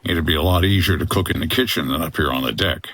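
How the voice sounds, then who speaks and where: An actor playing a gruff, wry 1950s boat skipper with a deadpan manner in a comedy adventure audio drama. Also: male voice, very deep voice, fast talker, dry recording. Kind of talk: old-time-radio-style comedy adventure acting.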